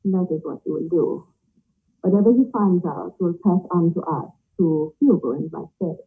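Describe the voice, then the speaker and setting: A woman's voice: fairly low pitch, normal speed, breathy edge. A woman playing a suave, scheming foreign ringleader in an old-time radio play, formal and insinuating, over a video call. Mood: coaxing. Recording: thin, phone-like video call audio.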